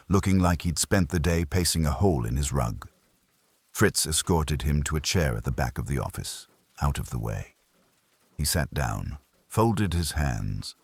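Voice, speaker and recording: A man reading a first-person detective story as scripted audiobook narration, in a husky voice. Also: very deep voice, no room sound.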